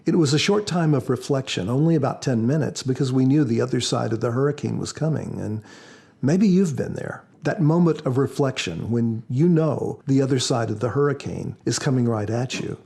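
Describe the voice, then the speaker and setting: A man speaking as an author on a podcast, explaining things in a measured, reflective way. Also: mid-range voice, expressive, creaky, mellow, slightly rough. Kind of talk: measured explanatory talk with scripture readings.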